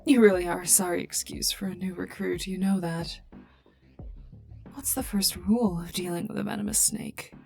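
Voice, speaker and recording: A woman playing a cold, sardonic, menacing female scientist in an ASMR roleplay, taunting the listener in breathy half-whispers. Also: lowish voice, normal speed. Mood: mocking, menacing. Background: calm ambient music.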